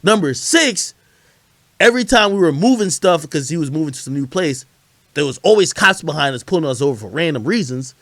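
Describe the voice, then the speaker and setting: A man chatting casually on a livestream as host, telling stories in an animated, slangy way and swearing freely. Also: on the high side, fairly quick, dry recording. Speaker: livestream host commenting on hip hop. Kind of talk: casual livestream chat, storytelling.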